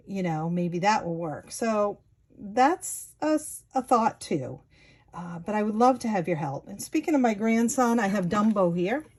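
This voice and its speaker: Slow-talking New England woman in her sixties. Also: mid-range voice, mellow. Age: 60s. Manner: chatty, meandering, many fillers. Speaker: vlogger and Etsy seller. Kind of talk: casual chat to camera. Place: home, at a wooden table.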